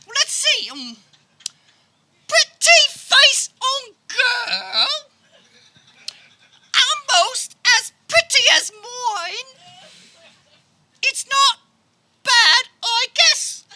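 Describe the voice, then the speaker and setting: A woman playing a dim, cowardly, excitable male suitor in a live radio farce, with a hesitant, literal-minded delivery. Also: extremely high pitch, exaggerated voice. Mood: flustered, pleased. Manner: hesitant, literal-minded, exclamatory, drawn-out words. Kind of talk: comic radio theater acting before a live audience. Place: backyard, before a live audience. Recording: noisy live backyard recording.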